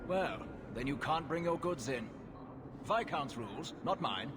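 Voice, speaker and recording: A man's voice: on the high side, lively intonation, unhurried, background noise. A man playing an officious harbour master, curt and dismissive, in a video game. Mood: bored, irritated. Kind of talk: video game dialogue acting.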